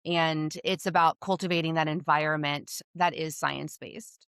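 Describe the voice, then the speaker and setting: A woman's voice: low voice, fairly even intonation, normal speed, a bit bright, clean tone, dry recording, studio quality. A woman with a slightly scratchy voice, a hormone health provider who explains things openly and with confidence in a podcast interview.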